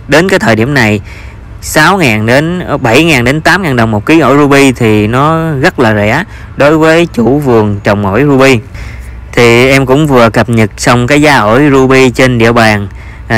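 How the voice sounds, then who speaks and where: A man's voice: mid-range voice, moderate pace, a bit bright. A man with a Southern Vietnamese accent narrates an informal vlog as a farmer, with hesitant, repetitive phrasing.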